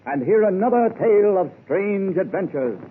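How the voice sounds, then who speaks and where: A man's voice: high-pitched, animated, normal speed. A man reading a formal scripted closing announcement as a radio announcer. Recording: tinny 1930s radio recording with faint hiss.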